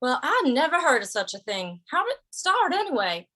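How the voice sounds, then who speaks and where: A woman's voice: sits high, wildly swooping pitch, brisk, bright, forceful tone, exaggerated voice. A woman playing an amiable, curious cowboy in an old-time western radio drama, with a mild, hesitant, folksy way of talking. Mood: surprised.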